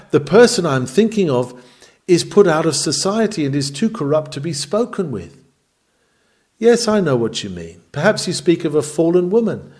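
A man with a slightly high voice reads a written sermon aloud. His delivery is earnest and oratorical, putting firm emphasis on key phrases as a preacher would. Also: a little mellow. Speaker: reader of historical sermons. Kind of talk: dramatic read-aloud sermon.

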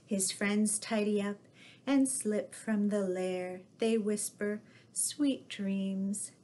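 Middle-aged woman, children's storytime reader, mid-range voice, unhurried and expressive read-aloud delivery. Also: medium pitch. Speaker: storyteller in costume as Mrs. Claus. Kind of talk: reading children's picture books aloud. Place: living room with fireplace, indoors.

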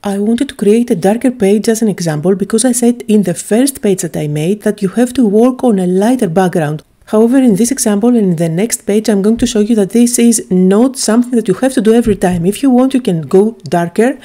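A woman's voice: mid-range voice, a little fast, few pauses, dark tone. A woman from Greece, chattily and enthusiastically narrating a craft tutorial, with frequent little "uh" fillers.

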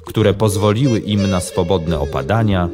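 A man narrating a children's audio drama in an expressive storytelling manner. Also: low-pitched, moderate pace, over music.

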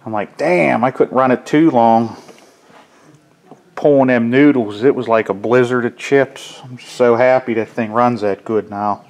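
A middle-aged man, a mechanic, talking casually and ramblingly off camera while he shows his work in a garage workshop. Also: medium pitch. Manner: folksy, rambling, plainspoken. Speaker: mechanic and chainsaw hobbyist. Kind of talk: casual workshop how-to vlog. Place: cluttered garage workshop, indoors.

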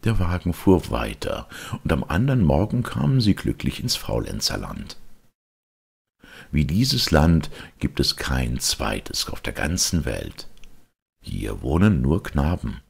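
A very deep-voiced middle-aged man narrates a children's story. His voice is gravelly and husky, and his delivery swings widely in pitch at an unhurried pace. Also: big pitch swings. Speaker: audiobook narrator. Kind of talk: expressive scripted storytelling for children.

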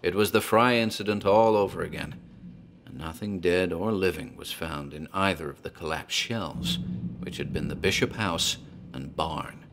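A man giving measured, grave scripted horror narration. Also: sits low, noisy background.

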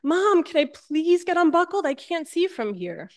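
An American woman voicing whining, excitable young children pestering their mother in a sermon anecdote, pleading and insistent. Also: very high-pitched, moderate pace, voice pushed up. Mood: excited pleading.

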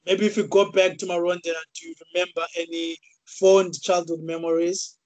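Halting male video-call host. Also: sits high, level tone, a little mellow, dry recording, poor audio. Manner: halting, many fillers and repeated words. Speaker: interview host. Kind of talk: casual video-call interview. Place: small room indoors. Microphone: video call webcam.

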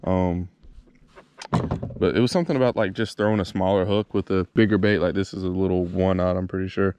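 A man's voice: deep voice, fairly even intonation, brisk. A man chats casually and thinks aloud in an easygoing, rambling way as he vlogs a fishing trip. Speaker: fishing vlogger. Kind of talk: casual chat to camera while fishing. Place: outdoors on a kayak on a lake. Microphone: action camera mounted on the kayak or body. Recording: noisy outdoor action-camera audio, water and clicks.

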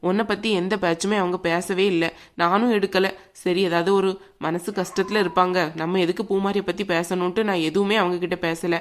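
A woman playing a gentle grandmother in a family drama, speaking in a soothing, colloquial, conversational way. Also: on the low side, fairly even intonation, a little fast, close to an everyday voice. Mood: confiding, placating. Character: gentle, peacemaking grandmother, mother of a grown daughter.

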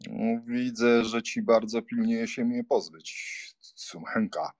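A man's voice: mid-range voice, slow pace, a little mellow, no room sound, lightly acted. A man playing a nonchalant, teasing young baron, a jaunty friend, in an audiobook drama.

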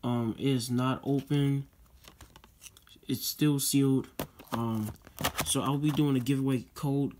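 A man's voice: mid-range voice, steady tone, slow, pauses often, noisy background. Rambling teenage boy vlogger. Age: teens. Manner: rambling, many fillers and repeated "guys". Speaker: YouTube channel host. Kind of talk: casual vlog unboxing talk.

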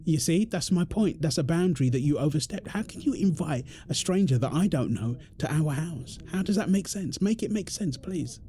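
A man's voice: fairly high pitch, expressive, normal speed, few pauses, dark tone, lightly acted. A British man playing an exasperated, defensive husband in an audio roleplay, arguing in an insistent, repetitive way. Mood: frustrated, accusing. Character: exasperated, defensive husband and father quarrelling indignantly. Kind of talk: dramatic one-sided argument roleplay.

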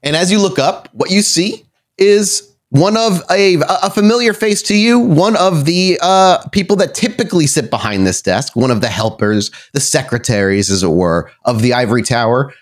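A man's voice: fairly high pitch, lively intonation, normal speed. A man running a vampire tabletop game as storyteller, improvising wordy, descriptive narration and correcting himself as he goes.

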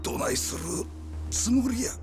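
An actor playing a betrayed, outraged yakuza boss in a video game, strained and struggling to get words out. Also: male voice, moderate pace, music in the background, close to an everyday voice. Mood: shocked, angry. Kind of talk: dramatic Japanese video game cutscene acting.

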